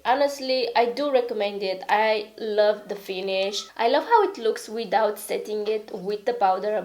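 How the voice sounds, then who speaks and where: A woman's voice: medium pitch, a little mellow. Chatty stuffy-voiced woman reviewing makeup. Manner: chatty, rambling, self-deprecating, with frequent "okay?" asides. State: stuffy and raspy from a cold. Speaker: beauty vlogger. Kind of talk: casual product review.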